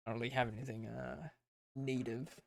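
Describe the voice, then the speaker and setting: A man streaming casually, rambling and thinking aloud as he plans, his voice tired and croaky. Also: mid-range voice, flat delivery, fairly quick, no echo. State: hoarse, tired voice. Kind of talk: casual livestream chat.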